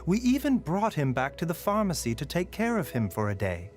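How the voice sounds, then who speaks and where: Courteous game pharmacist, lilting voice. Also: male voice, on the high side, huge pitch swings. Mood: measured, courteous. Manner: polite, measured, mildly teasing phrasing. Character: soft-spoken, courteous male pharmacist, gently wry and weary. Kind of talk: video game character voicelines. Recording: voice over background music.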